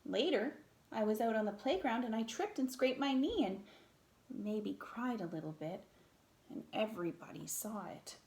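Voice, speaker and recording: A woman reading a children's picture book aloud in an expressive, theatrical way, with dramatic pauses and emphasis. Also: on the high side, echoey room.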